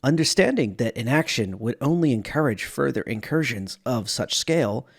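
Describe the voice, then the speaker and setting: A man giving a dramatic, measured narration of science fiction lore as a storyteller. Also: medium pitch, animated, vocal fry, slightly rough.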